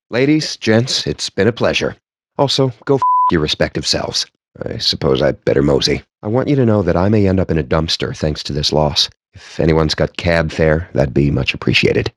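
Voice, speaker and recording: An actor playing a cocky, foul-mouthed, nerdy poker player in a video game, with a sardonic, smug and theatrically wordy delivery. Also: male voice, sits low, no echo, clean studio sound. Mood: sour, defeated. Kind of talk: video game character banter.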